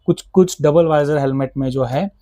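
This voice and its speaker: A man's voice: on the high side, normal speed, warm tone, no room sound. A man with an Indian accent, speaking as a shop seller who explains products in detail, conversationally switching between two languages. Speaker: motorcycle gear shop seller. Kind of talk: conversational product explanation in mixed Hindi and English.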